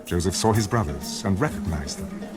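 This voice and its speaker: A low-voiced man narrates scripture as solemn audio theater. His delivery is very varied in pitch and scripted, with prominent music playing behind him. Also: expressive, moderate pace. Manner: solemn storytelling. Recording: loud music bed.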